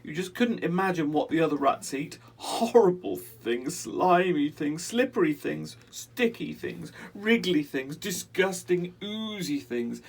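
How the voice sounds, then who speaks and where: A man's voice: a little high, expressive, gravelly, slightly rough, pitched above the performer's own voice, heavily acted. A man voicing a small, frightened young male rat who stammers and pleads tearfully in a storybook reading. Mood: tearful pleading. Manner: whimpering, stammering, drawn-out pleading. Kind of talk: storybook character voice.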